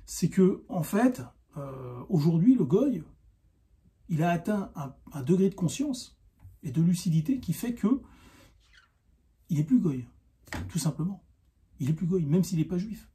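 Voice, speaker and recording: Guy ranting off the cuff, high voice but soft dark tone, keeps repeating his point. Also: big pitch swings. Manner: insistent, argumentative, repeats himself, halting with rhetorical questions. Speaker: online video commentator. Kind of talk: unscripted opinion monologue to camera.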